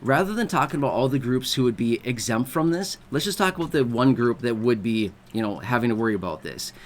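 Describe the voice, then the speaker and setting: Guy explaining stuff casually to his viewers, mid pitch, keeps asking if it makes sense, bit of faint noise. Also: lively intonation, brisk. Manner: conversational, keeps checking in with the listener. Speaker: video host explaining benefits.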